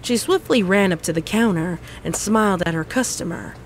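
A woman's voice: mid-range voice, animated, moderate pace, a little hiss or hum, rough recording. A woman reading scripted story narration.

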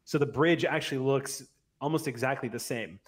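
A man in his forties running a tabletop roleplay session as game master, narrating descriptively with frequent pauses. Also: mid-range voice, slightly rough. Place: indoor room at home, on a video call. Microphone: large microphone up close on a boom.

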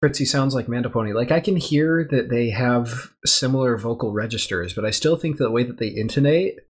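A man's voice: mid-range voice, a little fast, husky. A man co-hosting a casual podcast who adds thoughtful, slightly corrective remarks. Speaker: podcast co-host.

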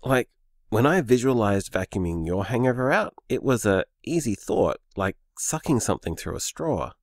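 A man's voice: fairly low pitch, lively intonation, moderate pace, dry recording, studio quality. A man playing an easygoing, sceptical young boyfriend in a naturalistic audio drama, conversational and hesitant. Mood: intrigued. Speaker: podcast drama actor.

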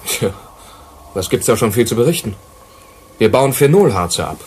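A man's voice: medium pitch, sing-song, normal speed, darkish tone, husky, full character voice. A man playing an anxious, deferential subordinate at a Venus mining base in a German radio drama. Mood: worried.

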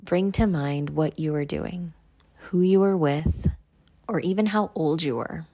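A woman leading a guided meditation in a measured, instructional way. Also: deep voice, warm tone.

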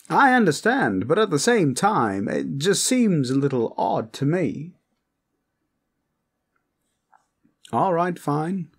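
Guy doing a smug horny vampire protector, flamboyant n suggestive, drags his words out slow, really animated pitch, comedy roleplay audio. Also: husky, exaggerated voice. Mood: smug, chiding. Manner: theatrical, drawn-out, suggestive, pompous. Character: lecherous, flamboyant male vampire protector, smugly charming. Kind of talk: comedic character roleplay monologue, talking to the listener.

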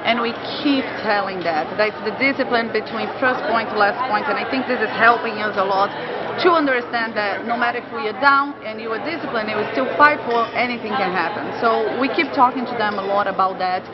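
Woman volleyball coach talking after a match, earnest, bit phrasing, loud crowd and noise around, dull lo-fi sound. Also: on the high side. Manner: earnest, measured, slightly non-native phrasing. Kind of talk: postmatch press interview. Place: college gym after a match. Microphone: handheld camera close up.

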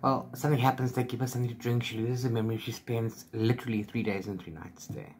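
A middle-aged man chatting casually as he recaps a book, rambling along in an animated retelling. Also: medium pitch, fast, a little hiss or hum. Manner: rambling, animated retelling with filler sing-song syllables. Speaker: book reviewer vlogger. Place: small book-lined room at home.

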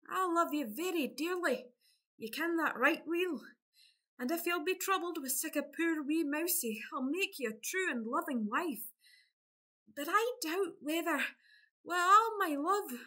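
An actress playing a sweet, canny young Scottish peasant lass, demure and coaxing, in a farcical radio play. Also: female voice, sits high, pushed and bright, heavily acted.

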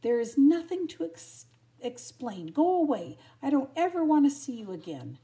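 A woman's voice: high voice, sing-song, normal speed, mellow, voice pushed up. A woman voicing a young, pampered female dog in a storybook, proud and easily upset, with emphatic exclamations. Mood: angry.

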